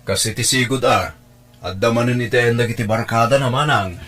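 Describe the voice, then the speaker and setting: Man playing a dutiful Filipino uncle, mid-pitched. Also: a little fast, a bit bright, slight background noise, lightly acted. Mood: resigned. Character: dutiful adult younger brother, the uncle. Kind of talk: Ilocano radio drama acting.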